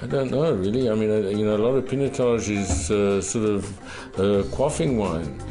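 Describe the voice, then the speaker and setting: A low-voiced man in his fifties talks about wine in a halting, restarting way, full of fillers. He sits at a tasting table in a cellar room. Soft music plays behind him. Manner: hesitant, many fillers and restarts. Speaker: visiting winemaker. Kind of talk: halting casual explanation.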